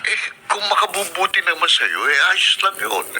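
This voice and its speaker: A man's voice: high-pitched, animated, normal speed. A man playing a troubled, devoted middle-aged father in a Filipino radio drama, speaking earnestly and insistently. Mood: earnest reassurance. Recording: noisy radio broadcast with clicks.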